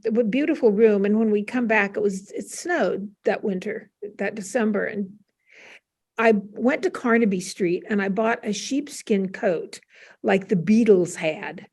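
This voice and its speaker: An older American woman, a panel guest, telling casual stories in an animated way and acting out remembered dialogue. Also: medium pitch, a little slow, slightly rough. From United States. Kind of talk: casual anecdotal storytelling.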